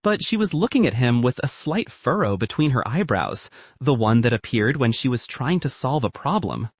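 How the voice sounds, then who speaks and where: A man's voice: medium pitch, very animated, moderate pace, no room sound. A man reading a scripted story as an audiobook narrator.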